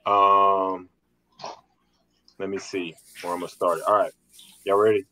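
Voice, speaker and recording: Deep-voiced playful podcast host. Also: male voice, flat delivery, unhurried, sharp tone, poor audio. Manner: playful, teasing, hip hop slang. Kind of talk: casual podcast chat.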